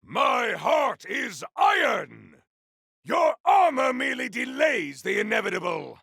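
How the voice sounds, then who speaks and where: An actor voicing a fierce, honorable cyborg warrior in a video game, speaking in a theatrical, grandiose, gravelly way. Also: male voice, very high voice, big pitch swings, takes it slowly, pushed and bright, no echo, shouting, clean studio sound, full character voice. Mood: battle fury. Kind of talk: video game combat barks.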